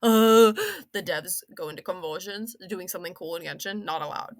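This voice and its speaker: A young woman rambling casually through an opinionated game story review, slangy and sarcastic, with animated, exaggerated emphasis. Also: lowish voice, moderate pace.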